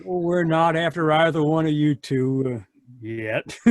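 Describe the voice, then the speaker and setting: An actor playing a folksy, easygoing country sheriff in an old-time radio drama, hemming and hesitant. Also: male voice, fairly high pitch, fairly even intonation. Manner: halting, hemming.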